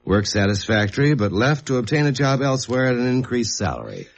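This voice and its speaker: A man's voice: medium pitch, sing-song, brisk. A man playing a genial, self-important company head with formal, polite manners in a mid-century radio drama. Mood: businesslike. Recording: dull old radio recording.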